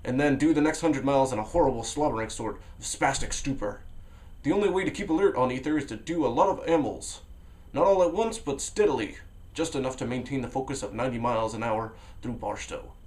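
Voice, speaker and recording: A man reading a novel aloud on a vlog, expressive, with sudden leaps in pitch and loudness and a low, hushed voice for inner thoughts. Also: a little fast. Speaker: vlogger reading a book aloud. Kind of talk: dramatic read-aloud of a novel.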